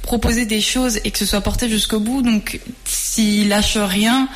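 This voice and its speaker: A young woman talking as a guest on a radio show, weighing her words with frequent hesitations and fillers. Her voice sits at a middle pitch and she speaks in a relaxed, conversational way. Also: measured pace. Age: young adult. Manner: thoughtful, hesitant, many fillers. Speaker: studio guest. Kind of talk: casual radio interview.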